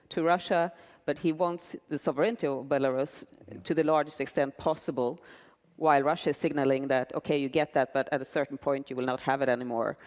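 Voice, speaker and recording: Smooth-voiced woman panelist in a hall. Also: low-pitched, a little mellow. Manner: measured, with frequent fillers and restarts. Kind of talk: panel discussion answer. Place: large conference hall. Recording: long hall reverb.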